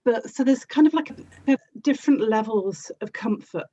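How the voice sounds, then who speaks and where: A woman's voice: a little high, animated, moderate pace, warm tone, lo-fi sound. A woman from South London with a South London accent, chatting casually as an interview guest. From South London, England. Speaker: interview guest, audio drama actor and producer.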